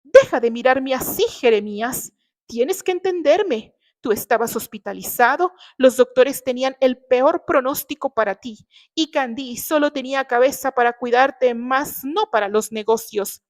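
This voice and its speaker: A woman performs a middle-aged wife and mother in an audio novel. Her voice is high, and she is defensive and desperate, pleading and justifying herself with hesitations. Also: moderate pace, natural voice.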